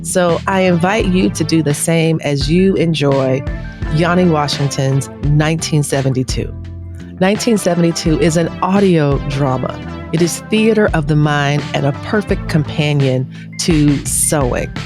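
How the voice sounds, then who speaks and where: A woman's voice: sits low, measured pace, music in the background. A woman hosting a podcast, introducing in a conversational way. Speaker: podcast host.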